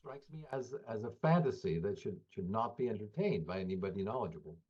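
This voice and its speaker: Man on dull audio, halting analysis. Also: on the low side, expressive, a little mellow, breathy edge. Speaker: interviewed professor and analyst. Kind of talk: spontaneous interview analysis.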